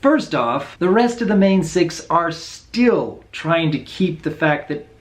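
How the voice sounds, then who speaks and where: Reviewer with a very lively, swooping pitch. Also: takes it slowly. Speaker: online reviewer of animated series episodes. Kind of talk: casual review commentary.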